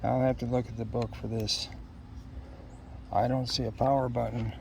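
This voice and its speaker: A man casually talking through an unboxing, unscripted and halting, often musing aloud to himself. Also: mid-range voice, level tone, vocal fry, darkish tone, a little hiss or hum. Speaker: product unboxer.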